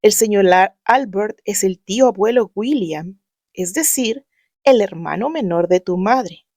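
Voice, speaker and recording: A woman with a Mexican accent reading the lines of a young woman's letter in a gentle, measured way. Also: mid-range voice, lively intonation, no room sound, clean studio sound. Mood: tender.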